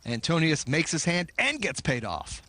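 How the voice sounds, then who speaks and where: A man's voice: fairly high pitch, wildly swooping pitch, normal speed, pushed and bright, a little hiss or hum. A man giving analysis during a televised poker broadcast, explaining each player's hand and odds.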